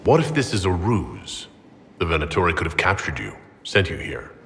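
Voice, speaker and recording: Casting: plain-spoken game hero, low voice with expressive intonation, measured and sober. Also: male voice, heavily acted. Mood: wary, resolute. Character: sober, questioning heroic male leader of an army. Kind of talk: dramatic video game acting. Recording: faint background ambience noise.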